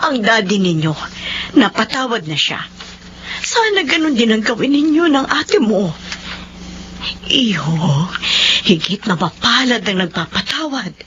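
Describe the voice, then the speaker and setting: A woman playing a gentle, earnest aunt who counsels forgiveness in a Filipino radio drama. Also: on the high side, sing-song, a little slow, slight background noise. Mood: gently persuading. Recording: dull lo-fi radio sound, music behind.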